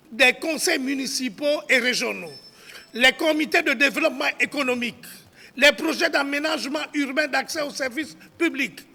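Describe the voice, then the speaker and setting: A man's voice: extremely high pitch, gravelly, bright, forceful tone. A man solemnly reads out a formal public statement as a civil society spokesman, declaiming and sometimes stumbling over the text. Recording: long reverberation of a hall.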